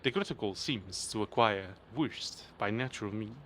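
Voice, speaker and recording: A man doing a hushed nature documentary narrator. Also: fairly low pitch, normal speed, a little hiss or hum, pitched below the performer's own voice, lightly acted. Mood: mock-solemn.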